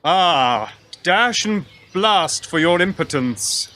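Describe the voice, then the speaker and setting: A man's voice: high-pitched, animated, slow, pushed and bright, a little hiss or hum, heavily acted. An actor playing a proud, pompous king who declaims in an old-fashioned way. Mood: haughty.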